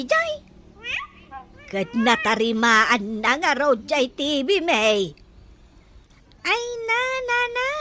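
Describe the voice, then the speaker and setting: Plaintive village woman, Ilocano accent, radio drama, high animated voice, drawn-out exclamations, background noise. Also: full character voice. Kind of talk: Filipino radio drama acting.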